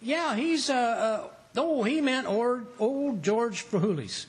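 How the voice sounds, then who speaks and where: An actor playing a blustering, folksy husband given to fibs, in 1940s radio comedy style. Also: male voice, very high-pitched, expressive, slow pace, husky, heavily acted. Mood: flustered. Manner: folksy, flustered, slangy. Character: blustering, fibbing, folksy middle-aged husband.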